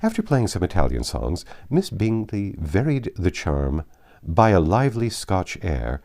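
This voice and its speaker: A man narrates literary fiction in a deep voice. His tone is mellow, and his delivery swings widely in pitch, with the shape of scripted storytelling. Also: huge pitch swings, a little slow. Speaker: audiobook narrator.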